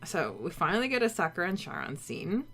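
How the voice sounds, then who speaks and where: A woman with a slightly low voice co-hosts a podcast in a loose, conversational way. She recaps a story informally and slips into imagined lines as she goes. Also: big pitch swings, quick pace, fairly bright tone. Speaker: podcast co-host. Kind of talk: casual chat, recapping and discussing a story.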